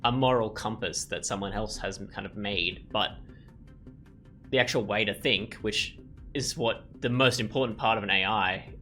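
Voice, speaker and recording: A man's voice: lowish voice, moderate pace, bright, forceful tone. A man giving casual let's play commentary, thinking aloud in a rambling, musing way. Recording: faint game music behind.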